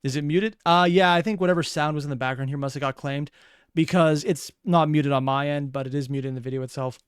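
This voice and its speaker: A man's voice: a little high, fairly even intonation, quick pace, clear, resonant tone, dry recording, studio quality. A man hosting a reaction video, commenting casually in a rambling, analytical way.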